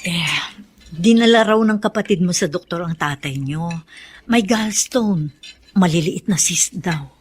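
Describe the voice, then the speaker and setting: A woman acting an indulgent, placating mother and housewife in a Filipino radio drama, gentle and homely. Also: fairly low pitch, measured pace, breathy edge.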